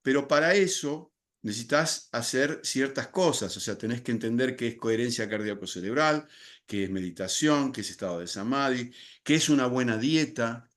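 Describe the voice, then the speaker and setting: A man's voice: mid-range voice, lively intonation, moderate pace, a bit bright. Older Argentine man, podcast guest, reflective and explanatory delivery with frequent restarts. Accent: Argentine Spanish. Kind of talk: podcast interview.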